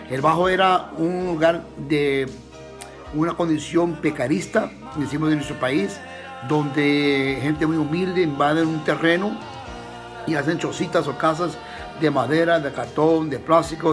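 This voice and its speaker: Earnest man giving halting testimony. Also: on the high side. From United States. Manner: earnest, halting, many restarts and fillers. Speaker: missionary pastor. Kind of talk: testimony in an interview.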